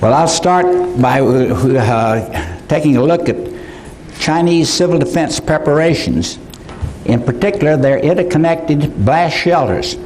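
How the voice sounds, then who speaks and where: An older man giving a conference lecture, halting, with many fillers and restarts. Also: on the high side, lively intonation, a little mellow, slight background noise, low-quality recording. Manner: slow, halting delivery with frequent fillers. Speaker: conference speaker. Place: conference hall.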